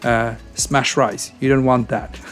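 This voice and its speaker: A man's voice: medium pitch, fairly even intonation, slow, faint music behind. A man narrating his cooking video in a casual, hesitant way, with many ums and uhs. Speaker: cooking channel host.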